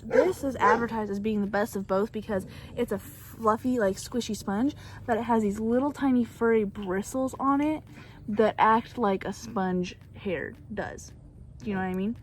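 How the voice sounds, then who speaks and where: A woman's voice: a little high, normal speed, noisy background. A young woman whose first language is Bulgarian, chatting casually and rambling through a makeup tutorial on a back porch, filmed on a phone. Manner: chatty, rambling, self-deprecating. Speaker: beauty vlogger. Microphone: phone camera.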